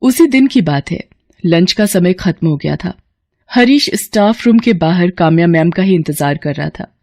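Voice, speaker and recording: Low, dry woman narrating a story. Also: expressive, moderate pace, darkish tone, no echo.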